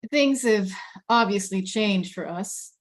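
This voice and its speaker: A woman plays a father trying to reason with his child in a script read. The voice is medium in pitch for a woman, firm and measured. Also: natural voice. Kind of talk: table read of a screenplay.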